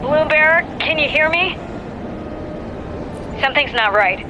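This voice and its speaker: A woman's voice: high voice, expressive, measured pace, muffled recording. A woman playing an anxious, caring helper in a video game, calling out to someone. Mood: worried. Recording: loud ambient noise over the voice.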